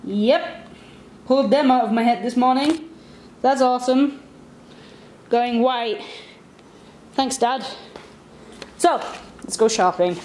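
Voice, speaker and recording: A woman's voice: fairly high pitch, slow pace, long pauses, darkish tone. A young woman chatting informally to a camera in her kitchen, with dry asides. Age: young adult. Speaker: vlogger. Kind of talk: casual vlog chat. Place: home kitchen, indoors. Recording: echoey room, some background noise.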